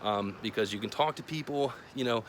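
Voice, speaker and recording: A man's voice: medium pitch, brisk, slight background noise. A man hosting a casual product review to camera in an informal, plain-spoken, conversational way. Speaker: video host.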